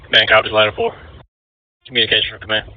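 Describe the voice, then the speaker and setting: A man's voice: on the low side, level tone, quick pace, no echo. A man working as fire incident commander, giving clipped procedural replies over emergency radio. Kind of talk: terse emergency radio dispatch traffic. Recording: tinny narrowband two-way radio transmission.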